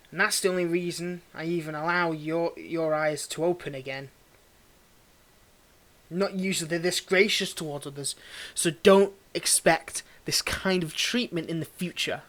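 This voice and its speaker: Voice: mid-range voice, fairly bright tone, close to an everyday voice. An actor playing a cold, condescending tsundere villain in a dramatic audio roleplay, taunting and theatrical as they address the listener directly. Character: cold, mocking tsundere villain, grudgingly tending a wounded hero.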